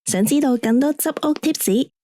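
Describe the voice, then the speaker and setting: A woman with a Hong Kong Cantonese accent voicing a radio advert announcer who reads a cheerful sales pitch for a tidying brand. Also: moderate pace, warm tone, no echo, clean studio sound, close to an everyday voice.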